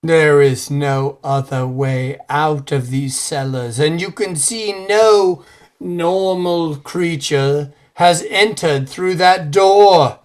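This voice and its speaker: A man acting as a grave clergyman in an old-time radio horror play. He speaks slowly and solemnly, full of fearful, melodramatic warning. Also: fairly high pitch. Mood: alarmed, ominous.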